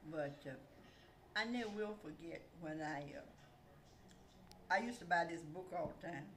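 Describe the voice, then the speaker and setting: A woman's voice: on the low side, animated, measured pace, reverberant. Older woman reminiscing over background noise. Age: older, grandmother. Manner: rambling reminiscence, trailing off mid-thought. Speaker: grandmother telling stories. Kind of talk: casual storytelling chat.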